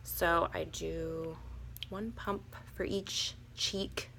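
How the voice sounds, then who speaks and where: A young woman with a raspy, hoarse voice from a cold, chatting casually and a little nervously through a makeup tutorial. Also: lowish voice, fairly even intonation, extremely slow, a bit bright, slight background noise. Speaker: beauty vlogger.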